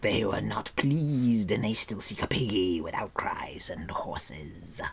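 A man's voice: medium pitch, very animated, slow pace, gravelly, voice pushed up, exaggerated voice. A man voicing a groveling, greedy, hairy forest creature who wails and pleads, in a storybook reading. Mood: whispering. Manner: wailing, pleading, talks of himself by name.

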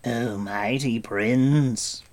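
A man's voice: mid-range voice, drawn-out words, a little mellow. A man doing Gurgi, a whining hairy creature. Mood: wailing. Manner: wailing, pleading, talks of himself by name. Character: whining hairy forest creature, groveling, greedy. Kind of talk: storybook reading.

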